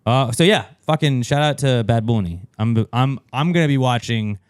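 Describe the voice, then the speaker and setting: A man's voice: medium pitch, expressive, moderate pace, clean tone, no room sound. A man co-hosts a loose comedy podcast, trading jokes and swearing freely in a clean, resonant voice. His voice sits in a middle register and comes across dry and close to the mic. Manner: joking, puts on mock Spanish lines. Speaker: podcast co-host. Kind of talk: casual banter, swearing.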